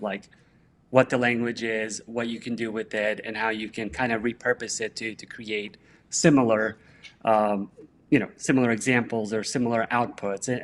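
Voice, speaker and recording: Man, from Bosnia, interview guest, mid-pitched voice, steady explanations. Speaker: guest, tech director. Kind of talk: conversational explanation.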